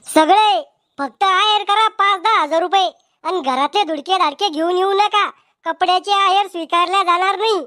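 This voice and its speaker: A man voicing a cheeky, quarrelsome village cartoon character with a Varhadi Marathi accent and a pitched-up voice. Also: normal speed, pushed and bright, no echo, clean studio sound. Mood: cheeky, sarcastic. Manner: brash, sarcastic, bickering.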